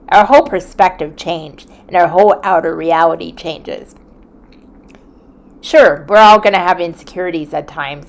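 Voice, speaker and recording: A woman in her forties, a content creator, talking in an earnest, reflective way from a quiet room at home, with small hesitations. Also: lowish voice. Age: 40s. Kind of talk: reflective vlog talk.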